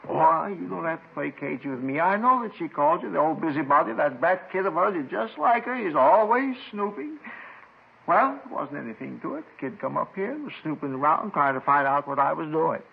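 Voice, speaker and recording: A paranoid, reclusive doomsday crank in a vintage radio drama. His fairly high, husky voice lurches up and down as he stammers and repeats himself, agitated and suspicious. The old recording is slightly dull. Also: expressive, a little fast, full character voice. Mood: irritated. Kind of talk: 1950s radio drama acting.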